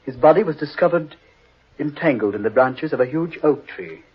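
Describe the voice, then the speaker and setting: An actor playing a dismissive, sceptical English gentleman with a dry, measured manner in old-time radio drama. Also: on the high side, expressive, a little hiss or hum, close to an everyday voice. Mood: grave reading. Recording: dull, muffled old radio recording.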